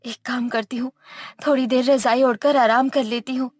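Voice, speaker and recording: A woman's voice: high voice, steady tone, normal speed, no room sound, studio quality. A woman voicing a meek, suffering young daughter-in-law who speaks plaintively in a Hindi cartoon story. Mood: miserable. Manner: pleading, plaintive.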